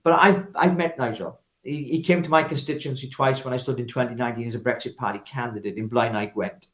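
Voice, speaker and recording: A man's voice: mid-range voice, steady tone, fast talker, fairly bright tone, roomy sound. A Welsh man speaking off the cuff as a political interview guest, emphatic and oratorical, leaning on repetition and rhetorical questions. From Wales. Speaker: political activist guest, former parliamentary candidate. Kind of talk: spontaneous political interview talk.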